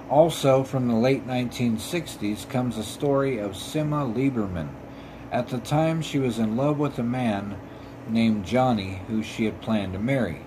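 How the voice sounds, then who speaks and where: A man with a mid-pitched voice narrates paranormal tales as a podcast host. He reads from a script haltingly, tripping on words and starting them again. A clear, steady hum of room noise sits behind him. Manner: halting reading, stumbling and repeating words.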